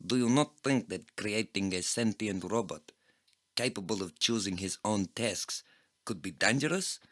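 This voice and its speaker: A man's voice: on the low side, a little slow, fairly bright tone. A man voicing a surly Eastern European computer programmer in an audio play, blunt and formally worded. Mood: grave warning. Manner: blunt, unfriendly, formal wording. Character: surly Eastern European male programmer and flea-market trader.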